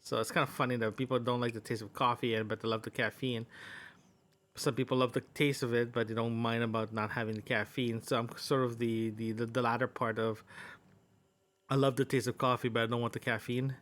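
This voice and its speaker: Man, podcast host, casual audio diary, mid-range voice, halting, rambling. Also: mid-range voice, flat delivery, fairly bright tone. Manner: halting, rambling, thinking aloud.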